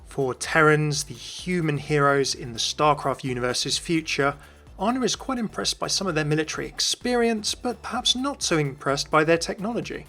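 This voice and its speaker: Higher-pitched man narrating a gaming video. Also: animated, moderate pace, bright, forceful tone, soft background music. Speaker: video host. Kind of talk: scripted narration.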